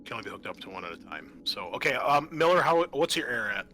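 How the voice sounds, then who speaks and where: Bright-voiced man running a tabletop game. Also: mid-range voice, very animated, fast, soft background music. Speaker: game master. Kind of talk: casual tabletop game narration.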